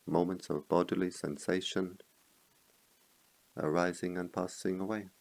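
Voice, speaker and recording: A man leading a guided meditation as a teacher, speaking in slow, measured phrases with long pauses. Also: low-pitched, little pitch movement, creaky, a little mellow, poor audio.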